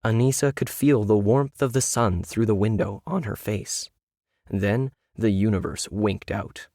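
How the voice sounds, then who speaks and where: Male, lowish pitch, scripted audiobook narration, science fiction story, dry close recording. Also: measured pace, warm tone, no echo. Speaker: story reader.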